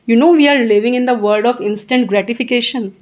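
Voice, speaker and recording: Indian woman tutor in her thirties, earnest and encouraging, fairly high pitch. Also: fast talker. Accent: Indian English. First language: Hindi. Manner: earnest, encouraging, repeats points. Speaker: English tutor. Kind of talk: interview answers, giving advice.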